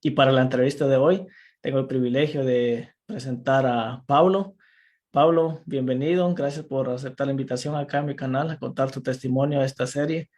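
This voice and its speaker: A man's voice: medium pitch, a little mellow. A man hosting a casual interview, welcoming his guest and answering with short backchannel replies. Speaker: interview host.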